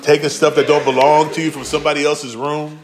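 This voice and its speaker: Homem pregando com gírias descontraídas. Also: male voice, a little high, flat delivery, brisk, a crowd in the background. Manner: casual, slangy, acts out quotes. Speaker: pastor. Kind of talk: conversational sermon.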